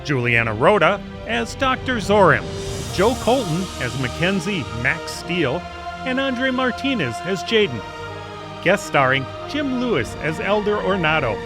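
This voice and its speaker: A man's voice: sits high, sing-song, unhurried, over music. A man announcing scripted credits for an audio drama.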